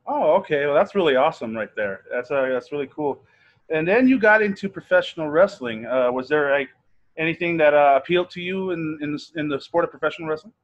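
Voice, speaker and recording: A man hosting a podcast interview, asking quick, hesitant questions full of fillers. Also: fairly high pitch, animated. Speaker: podcast host. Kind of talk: casual interview. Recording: dull lo-fi sound.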